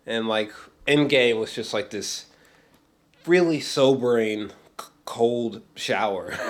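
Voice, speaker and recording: A man talking off the cuff in a casual film review vlog, excitable and emphatic, rambling, repeating himself and swearing often. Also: medium pitch. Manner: rambling, halting, frequent swearing. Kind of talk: unscripted, casual movie reaction talk.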